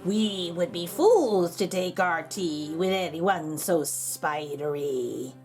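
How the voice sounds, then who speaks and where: Woman playing a snooty bumblebee, lowered pitch, slow, disdainful, dismissive, storybook character. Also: expressive, a little mellow, faint music behind. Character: haughty, dismissive bumblebee refusing an invitation.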